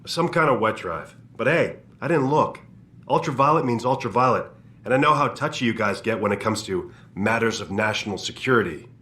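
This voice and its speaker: An actor playing an irritable, talkative medical examiner in a video game, grumbling in a brusque way. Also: male voice, mid-range voice, expressive, fairly quick, bright, forceful tone.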